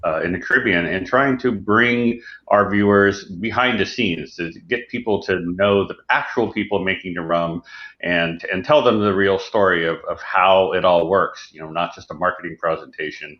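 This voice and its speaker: A man's voice: deep voice, normal speed. A man hosting a live online presentation in a conversational way over a video call. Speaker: host of an online rum presentation series. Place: indoor room, on a video call. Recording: dull, roomy video-call audio.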